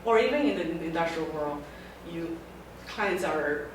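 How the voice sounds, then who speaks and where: A middle-aged woman from Taiwan speaks to a group of students, her phrases halting and often starting over. She is picked up from a distance in a strongly echoing room. Steady background noise runs underneath. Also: low-pitched. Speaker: guest speaker. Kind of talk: informal talk to a student group. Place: lecture room. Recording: very echoey room, mic far off, background noise.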